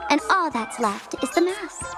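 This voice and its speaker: A woman's voice: high-pitched, lively intonation, a little slow. A woman voicing a mischievous, theatrical young showgirl in a video game, playful and teasing. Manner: playful, teasing, sing-song. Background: loud game music.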